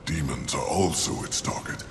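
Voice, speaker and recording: Very deep, proud angel commander. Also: male voice. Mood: grave. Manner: grandiose, formal, deliberate. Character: proud, embattled angel commander, grave and lofty. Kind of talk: theatrical video game acting. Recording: echoey hall reverb, clear noise.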